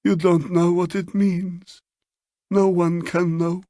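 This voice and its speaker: A man's voice: sits high, little pitch movement, slow pace, warm tone, no echo, clean studio sound, pitched above the performer's own voice. A man voicing an anxious, desperate woman visitor with formal, insistent phrasing in an audiobook story.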